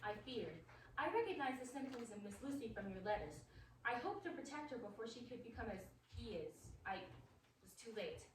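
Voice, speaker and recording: A woman's voice: a little high, animated, a little fast, lightly acted. A woman playing a grave, learned male vampire hunter in a radio drama, with an emphatic, authoritative manner. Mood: grave, regretful. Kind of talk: stage-style radio drama acting. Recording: echoey room, distant mic, faint noise.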